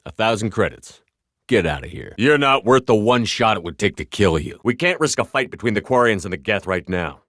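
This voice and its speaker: A man's voice: lowish voice, lively intonation, brisk, fairly bright tone, dry recording, studio quality. A man voicing a tough, commanding space soldier and squad leader in a video game, with terse, firm delivery. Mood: stern. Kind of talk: cinematic game dialogue lines.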